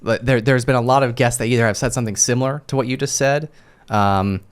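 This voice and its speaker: A man's voice: mid-range voice, fairly even intonation, quick pace, clean tone. A man in his thirties hosting a casual podcast interview, emphatic and affirming, often repeating his phrases. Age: 30s. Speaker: podcast host. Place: podcast studio.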